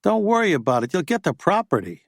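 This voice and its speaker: A man voicing a pushy, profane, fast-talking male Hollywood agent in an audiobook. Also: high-pitched, very animated, no echo, studio quality, voice pushed up, exaggerated voice. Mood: brash. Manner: pushy, profane, rapid-fire.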